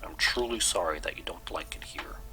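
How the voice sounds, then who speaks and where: A lonely old storybook gentleman, voiced by a man, polite and easily hurt. His voice is low and half-whispered, with a gently plaintive courtesy, and music plays under it. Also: moderate pace, poor audio. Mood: polite, apologetic.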